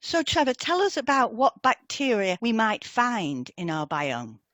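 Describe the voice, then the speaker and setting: A woman's voice: fairly high pitch, huge pitch swings, unhurried, a bit bright, dry recording, clean studio sound. A woman presenting a scripted educational talk as a narrator.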